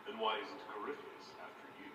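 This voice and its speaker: Guy as a plain-spoken hero leader from a fantasy game, low firm voice, echoey recording. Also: completely flat delivery, brisk, close to an everyday voice. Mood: calm, firm. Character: steady, principled male leader of a fantasy order. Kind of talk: fantasy video game dialogue acting. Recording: roomy, distant game capture with background noise.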